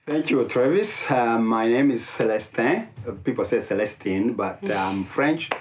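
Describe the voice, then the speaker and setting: A middle-aged man with a Rwandan accent, telling his personal story as an interview guest in deliberate, halting short phrases. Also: medium pitch, animated, slight background noise. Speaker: interview guest, ministry leader.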